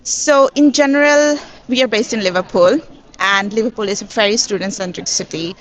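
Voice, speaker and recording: A woman's voice: mid-range voice, moderate pace, low-quality recording. A woman from Bangladesh, now university staff, enthusiastically promoting scholarships in an interview. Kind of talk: answering interview questions. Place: busy education expo hall.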